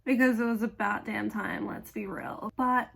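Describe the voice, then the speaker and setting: A young woman talks through her fan commentary in a gravelly, croaky voice that is still hoarse after being ill. Her pitch sits a little high, and she speaks bluntly and casually, with plenty of fillers. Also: fairly even intonation, moderate pace, fairly bright tone. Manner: chatty, blunt, swears casually, many fillers. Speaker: video essayist.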